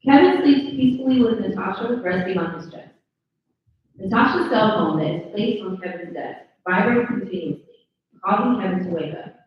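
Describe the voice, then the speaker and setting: A woman narrates a screenplay's action lines at a live read. Her medium-pitched voice sounds distant and slightly dull, with heavy room echo. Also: expressive, normal speed, a little hiss or hum. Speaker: narrator reading stage directions.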